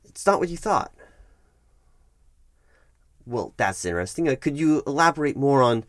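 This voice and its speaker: A man's voice: medium pitch, big pitch swings, moderate pace, fairly bright tone, no room sound, clean studio sound. A man playing a politely evasive, officious HR manager in an ASMR office roleplay, stammering through formal, bureaucratic wording. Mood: polite, awkward. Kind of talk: ASMR roleplay, one-sided office meeting.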